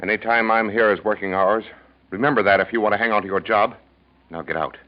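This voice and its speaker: A man's voice: lowish voice, fairly even intonation, fast, close to an everyday voice. An American man playing an arrogant, grasping middle-aged company boss in a 1940s radio drama, brusque, impatient and contemptuous. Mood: irritated. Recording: dull vintage radio recording.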